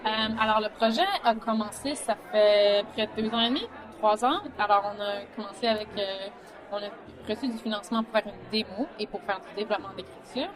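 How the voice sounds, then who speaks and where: Female writer in a casual interview, Franco-Manitoban accent, medium pitch, clear crowd chatter behind. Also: steady tone, fairly quick, low-quality recording. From Saint-Boniface, Manitoba, Canada. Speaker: series creator and writer interviewed. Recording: busy crowd chatter around her.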